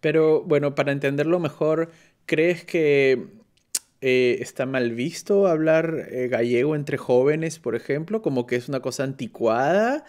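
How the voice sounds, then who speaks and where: A man interviewing a guest in a relaxed chat, hesitant and full of fillers and restarts. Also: fairly high pitch, a little slow. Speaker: interviewer and show moderator.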